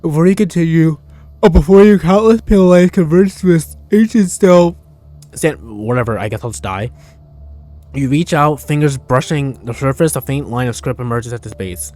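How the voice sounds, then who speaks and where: A man's voice: a little high, moderate pace, mellow, faint music behind. A man giving casual live gameplay commentary, thinking aloud in an irreverent, jokey way. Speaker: game livestreamer.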